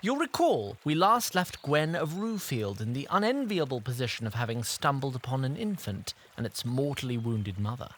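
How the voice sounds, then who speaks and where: A man's voice: mid-range voice, sing-song, normal speed, hardly any pauses, a bit bright, slight background noise. A man playing a fussy, theatrical storyteller who narrates a fantasy audio drama in wordy, arch phrases and addresses listeners directly. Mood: arch, storytelling. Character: chatty, theatrical male storyteller narrator, fussy and self-aware.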